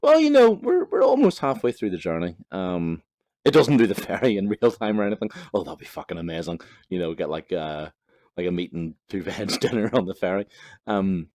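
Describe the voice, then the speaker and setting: A man's voice: deep voice, big pitch swings, a little fast, a little mellow, dry recording, clean studio sound. A young Northern Irish man chatting casually over his gameplay, rambling, swearing freely and laughing at himself. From Northern Ireland. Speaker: gaming channel host.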